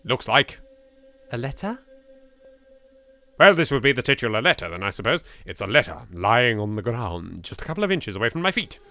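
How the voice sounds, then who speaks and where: Bright-voiced British man, theatrical horror game reader. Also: mid-range voice, brisk, no room sound. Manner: theatrical, expressive, swearing. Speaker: video game let's player. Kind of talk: dramatic read-aloud of horror game text with asides.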